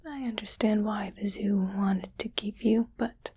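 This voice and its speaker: A woman voicing a greedy, rich female alien collector in an ASMR roleplay, whispering softly and deliberately with a coolly appraising, possessive manner. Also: mid-range voice, steady tone. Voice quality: soft, breathy whisper. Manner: slow, deliberate, pausing between short phrases, coolly appraising.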